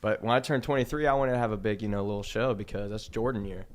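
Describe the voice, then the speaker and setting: A man's voice: fairly low pitch, quick pace. A twenty-three-year-old man chatting as a podcast guest in a small studio, easygoing and laid-back. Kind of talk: casual chat. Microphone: studio mic on boom arm.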